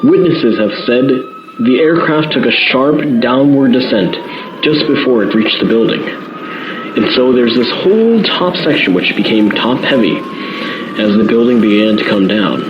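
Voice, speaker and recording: A man's voice: medium pitch, lively intonation, normal speed, lightly acted. Man acting as radio field reporter, noisy muffled link. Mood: awed, descriptive. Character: male radio reporter, awed eyewitness, vivid and earnest. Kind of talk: live eyewitness radio report, acted.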